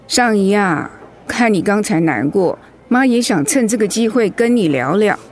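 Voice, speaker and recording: A woman's voice: mid-range voice, lively intonation, moderate pace, slight background noise, natural voice. A woman acting a protective middle-aged mother-in-law in a radio drama, outspoken and motherly. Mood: tender. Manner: indignant, emphatic when provoked, motherly.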